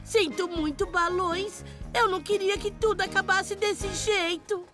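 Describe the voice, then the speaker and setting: A woman's voice: very high voice, steady tone, measured pace, loud music behind the voice. A woman with a Brazilian accent voicing a carefree, boastful, playful young boy motorcycle in a cartoon. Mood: relieved, playful. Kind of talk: animated cartoon dialogue, Brazilian Portuguese dub.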